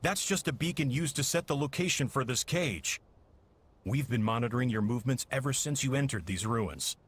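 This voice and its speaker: A husky, cold villain commander. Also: male voice, mid-range voice, expressive, moderate pace, a bit bright. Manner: confident, commanding. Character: calculating male villain commander, cold and confident.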